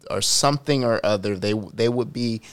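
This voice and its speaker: A man's voice: on the low side, normal speed, clear, resonant tone. A man co-hosting a casual podcast who mostly backs up his partner with short agreeing replies. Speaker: podcast co-host.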